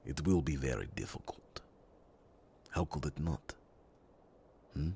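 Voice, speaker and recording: A man playing a loyal, earnest captain of a royal guard in a fantasy ASMR roleplay, speaking formally and reassuringly in a breathy voice with dramatic pauses. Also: very deep voice, animated, mellow, a little hiss or hum, exaggerated voice. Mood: solemn devotion.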